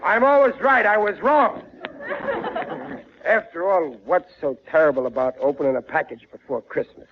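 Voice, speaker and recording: Guy doing high voiced american working class dad, flustered n stammery, old radio sitcom, muffled sound. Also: normal speed, a little hiss or hum, close to an everyday voice. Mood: scheming, blustering. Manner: ungrammatical, stammering, exasperated. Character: blustering, gullible working-class family father. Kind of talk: 1940s radio comedy acting.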